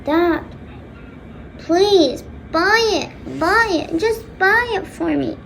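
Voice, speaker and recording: A woman voicing a spoiled teenage daughter, whining and begging in drawn-out pleas in a homemade skit. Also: high-pitched, expressive, a little hiss or hum, pitched above the performer's own voice, heavily acted. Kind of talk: homemade skit role-play.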